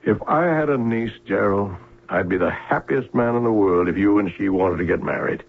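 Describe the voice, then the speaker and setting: A gravelly older scientist, raspy and theatrical on a muffled vintage broadcast. He is a proud, embittered schemer who gives orders deliberately, his pitch swooping widely. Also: lively intonation, full character voice. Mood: grave, reluctant. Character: bitter, proud older male inventor and uncle, coldly scheming. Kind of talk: old-time radio drama acting. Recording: lo-fi, muffled old radio broadcast.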